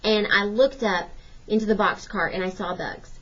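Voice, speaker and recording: A woman's voice: medium pitch, fairly even intonation, normal speed, fairly bright tone. A woman narrating a children's novel aloud like a teacher reading to pupils. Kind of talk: storybook narration. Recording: slightly dull, lo-fi audio.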